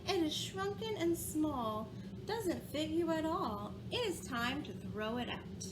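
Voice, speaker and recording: High sing-song storybook mother, read by a woman, noisy recording. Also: very animated, slow pace, heavily acted. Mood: gently insistent. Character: Joseph's practical mother, gently insistent.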